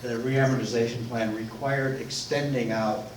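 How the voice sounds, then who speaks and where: A man in the audience at a forum, asking a measured, slightly hesitant question. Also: medium pitch, fairly even intonation, a little hiss or hum. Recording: echoey, distant room recording.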